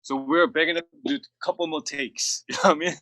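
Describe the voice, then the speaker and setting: A man speaking casually on a video call interview, telling stories about recording with a relaxed, rambling manner full of "you know". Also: on the high side, quick pace, a little hiss or hum. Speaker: label head and producer guest.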